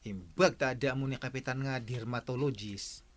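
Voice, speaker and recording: An actor with a Filipino accent playing an excitable, nosy villager who exclaims dramatically in a radio comedy drama. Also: male voice, medium pitch, normal speed, lightly acted. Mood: gossiping. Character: excitable, nosy villager called Enteng, pleading and teasing.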